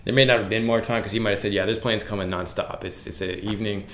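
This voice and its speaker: Low-voiced man debriefing in an echoey space. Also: fairly even intonation, quick pace, a bit bright. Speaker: vlog host and pilot. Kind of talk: casual conversational debrief. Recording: echoey room, clear background noise.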